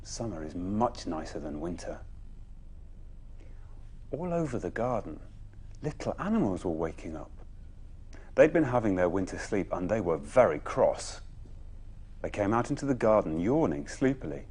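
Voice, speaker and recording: Husky, very animated male bedtime storyteller. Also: on the low side. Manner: dramatic pauses, emphatic phrasing. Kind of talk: expressive children's story narration.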